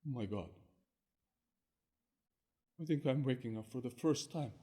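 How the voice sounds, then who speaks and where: A man playing a sheepish, hard-drinking fishmonger in a one-performer comic tale, talking in an excitable, pleading way. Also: mid-range voice, unhurried, slightly rough. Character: sheepish drunken fishmonger husband.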